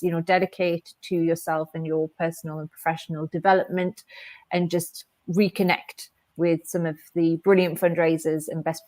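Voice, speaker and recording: A woman's voice: on the low side, a little mellow, no room sound. A woman from the northeast of England, co-hosting a webinar in a friendly, encouraging way. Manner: friendly, encouraging, laughs easily. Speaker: co-host of webinar. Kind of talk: casual webinar presenting.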